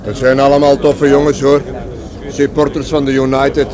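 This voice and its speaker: A man's voice: mid-range voice, monotone, normal speed, natural voice. An actor playing a folksy, eager-to-please bandsman in a radio play, garrulous and familiar in his colloquial talk. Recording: noisy vehicle ambience behind voice.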